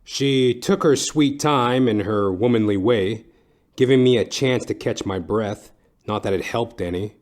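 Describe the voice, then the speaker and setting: A man playing a wry, down-at-heel private eye in a comic noir detective play, deadpan and measured with dramatic pauses. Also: medium pitch, lightly acted. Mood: world-weary.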